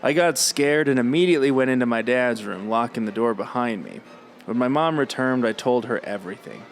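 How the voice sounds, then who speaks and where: A man co-hosting a podcast, reading listener stories aloud at an even, middling pitch. He breaks in with dry, sarcastic comments between passages. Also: brisk.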